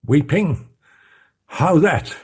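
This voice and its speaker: A man voicing a stern middle-aged male lawyer who speaks forcefully and urgently. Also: a little high, expressive, extremely slow, breathy edge, voice pushed up, full character voice. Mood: urgent, commanding.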